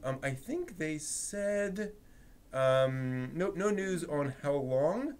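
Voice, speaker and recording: Higher-pitched man hosting a casual news chat. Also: lively intonation, slow, darkish tone, clear, resonant tone. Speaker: host presenting anime news.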